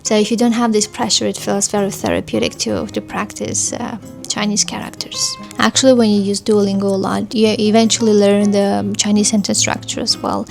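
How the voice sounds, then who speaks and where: Flat-voiced woman, Armenian native speaker. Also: mid-range voice, creaky, faint music behind. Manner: thoughtful, unhurried, frequent fillers and restarts. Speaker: vlogger. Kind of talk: casual talking-to-camera review.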